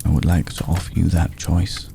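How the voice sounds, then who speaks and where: A man playing a courteous, brooding old vampire lord in an intimate ASMR roleplay, speaking in a measured, solemn, deliberate way with long pauses. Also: little pitch movement, noisy background, heavily acted. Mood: solemn, inviting. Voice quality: deep, gravelly. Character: courteous, brooding centuries-old male vampire lord, tender toward a mortal.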